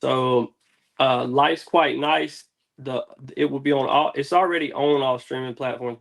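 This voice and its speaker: A man's voice: mid-range voice, gravelly, a bit bright. A man with a mid-range voice chats as a guest on a music show. He is laid-back and slangy, rambling easily from one point to the next. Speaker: interview guest, music artist. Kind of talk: casual chat.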